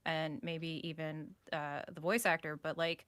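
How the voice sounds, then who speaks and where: A woman's voice: low voice, little pitch movement, measured pace, fairly bright tone, no room sound. A woman hosting a casual live discussion in a playful, enthusiastic, geeky manner. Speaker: host.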